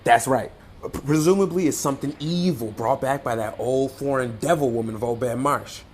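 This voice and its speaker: A chatty small-town clerk, played by a man in a radio play. He teases and needles in a medium-pitched voice, muttering asides. There is slight background noise. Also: moderate pace, darkish tone, lightly acted. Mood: needling, smug. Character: folksy, gossipy, teasing small-town ticket clerk.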